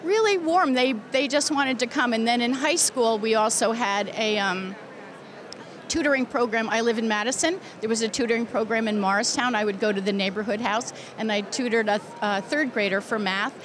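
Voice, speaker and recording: Older lady teacher in her 60s being interviewed, earnest, clear hall noise behind her. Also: a little high, brisk. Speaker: teacher and convention committee chair, interview guest. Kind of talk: interview answers. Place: crowded indoor convention exhibition hall. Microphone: interviewer's handheld microphone. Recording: loud busy convention hall background.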